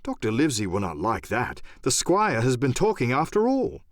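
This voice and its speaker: A man's voice: mid-range voice, huge pitch swings, normal speed, no echo. An earnest young lad , read by a grown man speaks up in an adventure audiobook. He is observant and sincere. The recording is dry and close. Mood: concerned.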